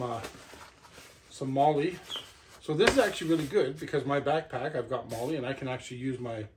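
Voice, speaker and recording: Male, middle-aged, mid-pitched voice, casual product reviewer thinking aloud as he works; some background noise and clicks. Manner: talks to himself while handling gear. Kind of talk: casual unboxing and hands-on review. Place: indoor living room.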